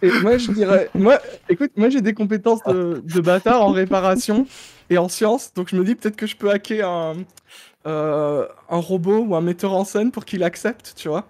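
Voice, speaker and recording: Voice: mid-range voice, normal speed, darkish tone. A player in a tabletop roleplaying session, chatting and laying out plans.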